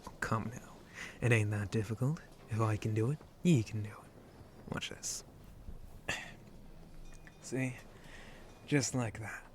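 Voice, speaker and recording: A man voices a lowborn shark-monster pirate, flirty and reassuring, in a fairly deep voice. He drawls slowly in rustic pirate talk, often sinking into a breathy whisper. It is an intimate ASMR roleplay with light background noise. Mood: instructive, easygoing. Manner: rustic pirate dialect, hesitant drawl, teasing.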